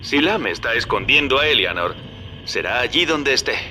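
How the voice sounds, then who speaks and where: An actor playing a shrewd businessman guiding the player in a game, with a smooth, scheming manner. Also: mid-range voice, expressive, moderate pace, background noise, natural voice. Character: Augustus Sinclair, shrewd businessman guide on radio. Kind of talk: game voice acting.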